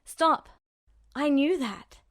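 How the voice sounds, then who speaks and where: A woman's voice: sits high, animated, very slow, no echo, studio quality, full character voice. Woman as a hot-tempered, defensive young man. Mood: agitated. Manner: agitated, emphatic, blurting. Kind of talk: audiobook character voice.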